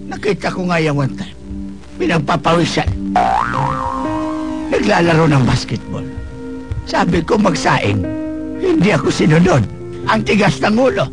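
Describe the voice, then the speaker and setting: A man's voice: on the high side, big pitch swings, unhurried, pauses often. A man playing an exasperated, comic older father in a radio drama, nagging and flippant with exaggerated delivery. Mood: complaining. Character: exasperated, comic older father and grandfather scolding his daughter. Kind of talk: Filipino radio drama acting. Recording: loud music bed with cartoon effects.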